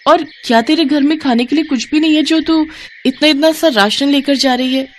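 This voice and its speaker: A woman voicing a well-off young woman, a concerned and outspoken childhood friend, frank and expressive in a storybook tale. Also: high voice, moderate pace, a little hiss or hum, pitched above the performer's own voice, close to an everyday voice. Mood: shocked.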